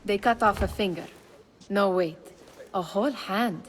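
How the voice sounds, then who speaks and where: A woman's voice: medium pitch, measured pace, slight background noise, close to an everyday voice. A woman playing a cheeky, playful young thief in a video game, teasing as she talks, in long reverb. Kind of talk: video game cutscene acting.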